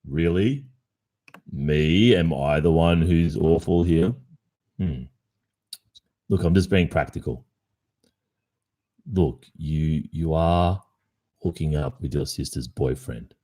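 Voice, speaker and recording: Deep-voiced Australian boyfriend, acted by a man. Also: slow, long pauses, mellow, full character voice. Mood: casual, reassuring. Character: cheating young Australian boyfriend, casually dismissive, evasive. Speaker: roleplay performer. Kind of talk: ASMR boyfriend roleplay, acted.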